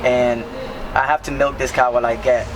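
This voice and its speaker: A man's voice: mid-range voice, level tone, moderate pace. Young man from New York, emotional and upbeat, street interview, traffic behind. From New York City. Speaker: aspiring performer. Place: outdoors on a city street. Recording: loud street noise behind.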